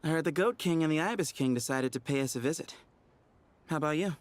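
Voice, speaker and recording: A man's voice: on the high side, quick pace, close to an everyday voice. A man voicing a composed, friendly young desert temple guardian in a video game, with a storyteller's manner. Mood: friendly, relaxed.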